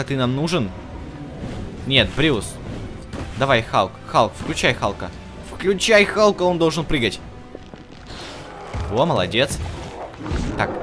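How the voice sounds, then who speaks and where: A man doing casual, slangy let's-play commentary, excitedly urging the game's characters on as he plays. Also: mid-range voice. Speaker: gaming channel host. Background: game music and effects.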